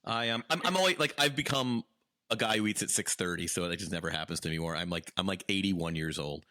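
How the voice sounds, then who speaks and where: A man co-hosting a podcast, asking questions and making self-mocking jokes in a lively, animated way. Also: deep voice, fast, no room sound, clean studio sound. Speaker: podcast co-host. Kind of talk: casual podcast chat.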